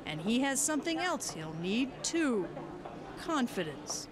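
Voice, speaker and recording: A woman's voice: fairly high pitch, big pitch swings, a little slow, background noise. An American woman working as a news correspondent, reading scripted broadcast narration and putting questions in interviews.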